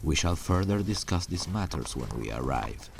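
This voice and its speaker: A man playing a menacing, possessive medieval king in an audio roleplay, condescending and coldly threatening, with a gravelly, husky voice. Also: sits low, very animated, moderate pace, a little hiss or hum, exaggerated voice. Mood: stern, controlling. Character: menacing, possessive warlord king threatening his captive queen.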